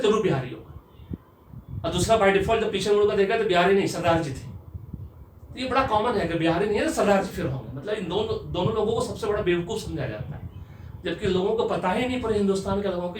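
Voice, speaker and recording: A man's voice: high voice, a little hiss or hum. A middle-aged man, a professor giving an earnest, emphatic motivational talk to camera. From Bihar, India. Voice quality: earnest, emphatic, rising when stirred. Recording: echoey room, mic far off.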